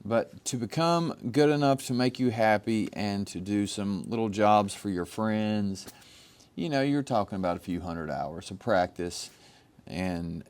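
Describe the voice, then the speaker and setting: A man with a rough, somewhat low voice talks through his engraving gear in a relaxed, rambling way. He wanders and restarts his sentences, filling the gaps with ums. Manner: meandering, with frequent ums and restarts. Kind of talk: casual show-and-tell explanation. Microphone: microphone.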